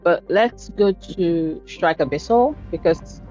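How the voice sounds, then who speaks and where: A woman casually commentating her own game playthrough, chatty and self-deprecating, speaking low and soft because talking hurts right now. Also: animated, slow pace, soft background music, low-quality recording. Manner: chatty, self-deprecating, swears at setbacks in play. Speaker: gaming channel host. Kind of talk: casual let's-play commentary.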